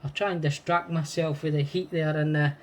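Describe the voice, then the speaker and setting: A man's voice: a little high, fairly even intonation, fairly quick, slight background noise. A man with a Scottish accent chatting casually as an amateur radio operator, friendly and repeating phrases for emphasis. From Scotland. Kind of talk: casual radio contact chat.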